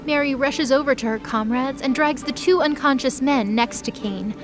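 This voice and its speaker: A woman's voice: sits high, normal speed, over music. A woman giving scripted, dramatic narration as the narrator of an audio drama.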